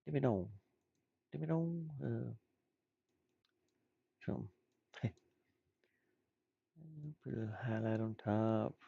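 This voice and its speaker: A man's voice: lowish voice, slow pace, gravelly, long pauses, dark tone, husky, dull audio. A man thinking aloud in casual, rambling chat as he works on game art, muttering and making playful asides. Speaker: indie game designer streaming.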